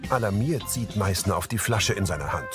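A man's voice: lowish voice, animated, a little fast. Neutral male narrator over prominent music. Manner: neutral, concise. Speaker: audio description narrator. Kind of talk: scripted narration of on-screen action.

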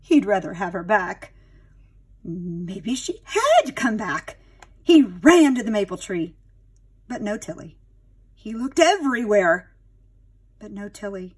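A woman's voice: medium pitch, very animated, slow pace, long pauses, husky. A woman narrating a children's storybook expressively, dramatic and addressing young listeners like a teacher.

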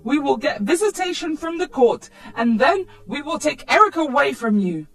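A woman's voice: sits high, sing-song, normal speed, fairly bright tone, pitched above the performer's own voice, full character voice. A woman voicing an outraged, threatening middle-aged mother who scolds emphatically in a storytime narration.

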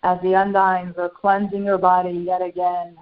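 A woman channeling a serene, benevolent spiritual master, guiding a meditation in slow, short phrases with long pauses. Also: on the low side, monotone, lightly acted. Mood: serene, reverent. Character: serene, benevolent ascended master St. Germain addressing followers. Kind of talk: guided meditation. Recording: dull lo-fi sound.

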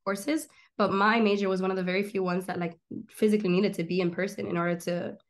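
A young woman in her twenties, born in Miami and raised in Argentina, telling her story as an interview guest. She talks in quick, lively run-on stretches, like someone gesturing as she goes. The sound comes through a laptop webcam on a video call from a small room at home. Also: mid-range voice. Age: 20s. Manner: animated, gestures a lot, rapid run-on storytelling. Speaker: guest, comedian and filmmaker.

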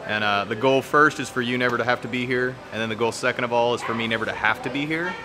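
A man running the restaurant, talking enthusiastically about how it is improving. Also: medium pitch. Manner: fast, enthusiastic. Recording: music behind, off-mic.

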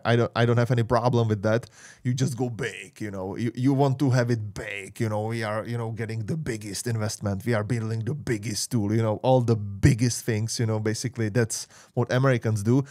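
A man's voice: medium pitch, darkish tone, no room sound, clean studio sound. A man in his thirties, a native Czech speaker with a Czech accent, hesitantly reading tech points aloud and adding casual asides. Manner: hesitant, many "uh" and "you know", reads points aloud then comments. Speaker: tech YouTuber. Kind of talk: screen-share tech review with asides. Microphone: studio mic on boom, webcam inset.

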